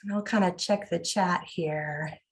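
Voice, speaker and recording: A woman's voice: fairly low pitch, a little slow. A woman hosting an online scholarship webinar, explaining the process in a friendly, informal way with frequent fillers and restarts.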